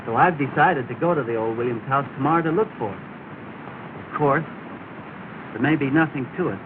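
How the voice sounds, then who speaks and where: A man's voice: mid-range voice, brisk, close to an everyday voice. An actor playing an earnest young man, speaking politely and formally in a 1930s film. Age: young adult. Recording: tinny hissy 1930 film soundtrack.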